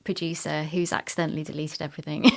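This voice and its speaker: A woman's voice: low voice, big pitch swings, moderate pace, vocal fry, crowd noise. A middle-aged woman chatting casually as an interview guest about her work in sound production.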